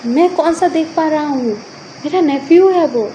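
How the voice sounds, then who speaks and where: Earnest nephew in a told story, read by a woman; high, soft-toned, apologetic, pleading, faint background noise. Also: normal speed, close to an everyday voice, low-quality recording. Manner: pleading, explaining himself.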